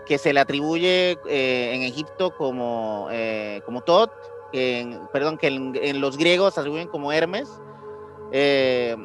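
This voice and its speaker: A man with a Mexican accent chatting casually as a podcast co-host, halting and searching for words in slangy speech. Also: a little high, fairly bright tone. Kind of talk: casual podcast chat about ancient mysteries. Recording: music behind the voice.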